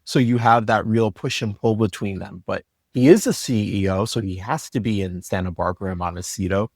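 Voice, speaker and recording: Low, creaky-voiced man chatting as guest. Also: a little fast, no room sound, clean studio sound. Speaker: story editor, podcast guest. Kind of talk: casual interview chat.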